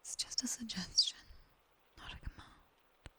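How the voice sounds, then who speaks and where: A British woman playing a sharp-tongued, teasing nightmare demon tutor in an ASMR roleplay, whispering breathily and intimately close to a binaural mic. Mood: soothing, instructive. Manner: slow, intimate, teasing, commanding. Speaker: ASMR performer. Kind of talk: whispered ASMR roleplay, guided body scan. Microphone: close binaural ear microphone.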